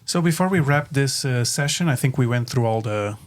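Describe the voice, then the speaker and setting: A man from Portugal, a native Portuguese speaker, hosting a casual podcast chat in a reflective, halting way. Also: medium pitch, animated. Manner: reflective, halting with frequent fillers. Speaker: podcast host.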